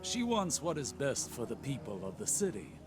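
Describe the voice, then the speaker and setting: A man playing a composed, learned warlock in a video game, speaking in a measured, reassuring and formal manner. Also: mid-range voice, wildly swooping pitch, music in the background. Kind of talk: video game character dialogue.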